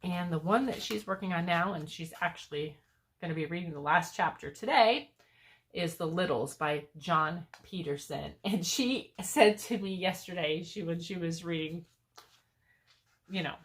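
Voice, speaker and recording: A woman's voice: lowish voice, normal speed, fairly bright tone. An older woman, a homeschooling grandmother, chatting enthusiastically about books in a casual way with lots of fillers. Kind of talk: casual book review chat.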